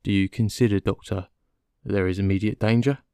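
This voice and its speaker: A man's voice: on the low side, fairly even intonation, fairly quick, a little mellow, clear, resonant tone, dry recording, clean studio sound, natural voice. A man voicing a dull, unemotional police inspector with a formal, mechanical manner in an audiobook. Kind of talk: audiobook character dialogue.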